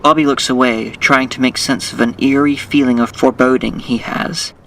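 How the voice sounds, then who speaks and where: A man's voice: medium pitch, steady tone, normal speed, a bit bright, far from the mic, low-quality recording. A man giving scripted, dramatic narration as the narrator of an audio drama.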